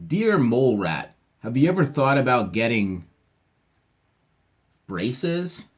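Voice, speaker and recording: A man's voice: mid-range voice, sing-song, slow pace. A man reading as a curious, blunt letter-writer who puts wondering questions to odd storybook animals with exaggerated delivery. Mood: nosy wonder. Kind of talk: children's storybook read-aloud.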